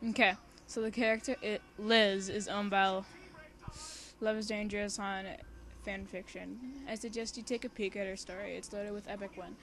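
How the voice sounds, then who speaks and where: A young woman reads a story aloud in a casual, homemade way. She has a medium-pitched voice and an excitable, giggly manner, and she drifts easily between the text and chatty asides. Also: normal speed. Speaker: amateur fan fiction reader and co-host.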